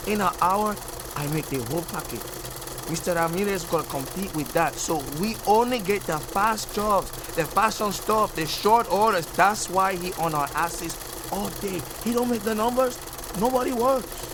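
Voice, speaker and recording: A woman's voice: medium pitch, moderate pace, natural voice. An actress playing a worldly-wise working mother in a garment shop, streetwise and matter-of-fact, with a Spanish-accented New York English, in a comedic radio drama. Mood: resigned, wry. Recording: noisy rattling sewing-machine workshop ambience.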